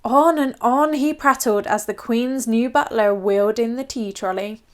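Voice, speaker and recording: A woman storyteller reading a children's picture book aloud in an expressive, scripted style. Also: fairly high pitch, moderate pace.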